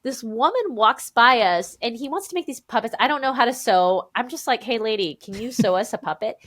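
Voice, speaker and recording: A woman in her thirties, a podcast guest, telling family stories in an animated, chatty way and acting out the dialogue. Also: a little high, a little fast. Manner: animated, acts out quoted dialogue, frequent "like". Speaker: podcast guest, memoir author. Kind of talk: conversational storytelling interview.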